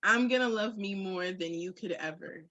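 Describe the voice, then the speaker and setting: A woman's voice: mid-range voice, normal speed, bright, forceful tone, dull audio. A woman chatting candidly and casually on a podcast, emphatic and slangy with punchy phrasing.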